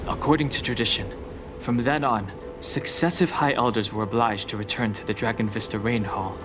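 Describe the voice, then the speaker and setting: A man playing a calm, reserved guide in a game cutscene, solemn and terse as he recites lore. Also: medium pitch, moderate pace, music in the background, lightly acted. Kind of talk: video game cutscene acting.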